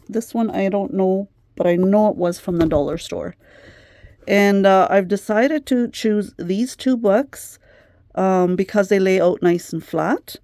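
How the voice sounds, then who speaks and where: A middle-aged woman hosting a craft vlog who chats gently with plenty of fillers, her voice slightly worn as if she is losing it. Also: mid-range voice, dry recording, studio quality. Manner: gentle, chatty, many fillers. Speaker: craft channel host. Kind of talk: casual craft vlog chat.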